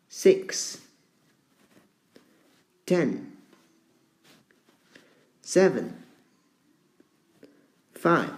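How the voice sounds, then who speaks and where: A man teaching a beginner English lesson, speaking slowly and deliberately with long pauses between words. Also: on the high side, a little mellow.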